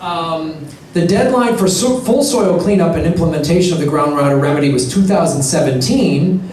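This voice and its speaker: A man's voice: on the high side, normal speed, darkish tone, a little hiss or hum. A man hosting a live comedy show, telling a story with sarcastic, profane asides. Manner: joking, profane, sarcastic asides between facts. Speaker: comedy podcast host. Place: live venue before an audience. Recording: echoey live room, distant mic, crowd.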